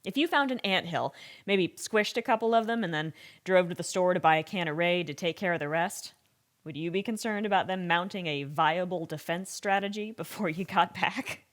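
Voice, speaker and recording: Thoughtful female expert for radio drama interview, played by an actress: low register, measured, reflective, troubled. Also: natural voice. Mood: wry, pondering. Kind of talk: audio drama interview acting, naturalistic.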